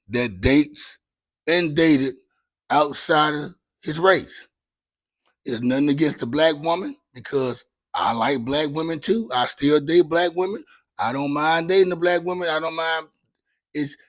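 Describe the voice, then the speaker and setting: Raspy-voiced man hosting a casual chat. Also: medium pitch, fairly bright tone, no echo, poor audio. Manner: loose, candid, slangy and profane. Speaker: podcast host.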